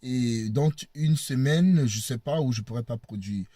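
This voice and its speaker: A man with a cold talking casually to his viewers from a parked car, halting and repeating words, often laughing. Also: medium pitch, warm tone, no echo, clean studio sound. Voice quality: husky. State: hoarse with a cold. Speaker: video blogger.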